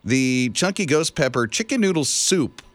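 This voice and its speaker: A male morning radio co-host talks in a playful, joking way, his pitch rising and falling a lot. The studio sound is close and dry, with no room echo. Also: expressive, normal speed. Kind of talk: casual radio banter.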